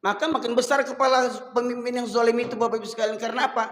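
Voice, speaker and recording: A man from Sumatra with a Medan accent, preaching a sermon to a congregation and slowing to chant verses. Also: very high-pitched, fairly even intonation, a bit bright. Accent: Medan Indonesian. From Sumatra, Indonesia. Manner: rises and falls, slows to chant Quran verses. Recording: echoey hall.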